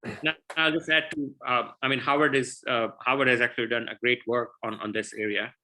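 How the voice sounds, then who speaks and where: A man with an Indian accent and a bright, forward voice answers questions as a guest scientist. He explains earnestly and often restarts his sentences. He sounds like he is speaking through a computer mic on a video call. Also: mid-range voice, fairly even intonation, fairly quick, slight background noise, rough recording. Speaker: guest researcher.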